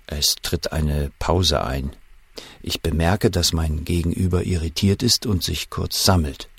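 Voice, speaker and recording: A shaken wartime official, recounts a fateful night in an audio drama. His voice is very deep and rough, recorded close and dry. The telling is measured, inward and full of suspense. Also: fairly even intonation, no echo, exaggerated voice. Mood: urgent, strained. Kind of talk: first-person dramatic narration in an audio drama.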